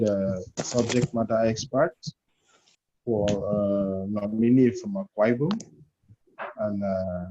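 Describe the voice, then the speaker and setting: Nigerian male participant, very dark husky voice, formal and hesitant, on dull call audio. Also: lowish voice. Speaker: workshop participant. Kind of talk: hesitant self-introduction.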